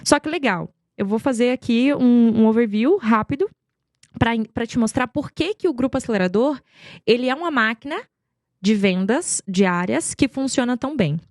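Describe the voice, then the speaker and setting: A woman's voice: a little high, expressive, moderate pace, dry recording, clean studio sound. A woman tells stories as an interview guest, talkative and emphatic, often posing rhetorical questions. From Goiânia, Brazil. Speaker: podcast guest, marketing executive. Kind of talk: conversational interview storytelling.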